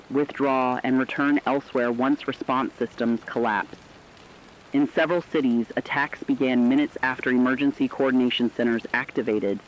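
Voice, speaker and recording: A man's voice: medium pitch, a little hiss or hum, close to an everyday voice. Muffled, serious man acting as radio news anchor. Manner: serious, measured, formal. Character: grave radio news anchor reporting on an apocalypse. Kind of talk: scripted breaking news narration.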